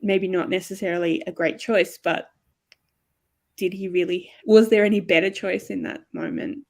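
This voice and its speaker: Creaky-voiced woman discussing books. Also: on the low side, fairly even intonation, moderate pace, a little mellow. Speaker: co-host of a book discussion show. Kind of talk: casual book discussion.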